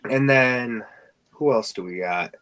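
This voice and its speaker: Male podcast co-host, mid-pitched, casual and a little halting. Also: fairly bright tone. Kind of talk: casual podcast chat about fan casting.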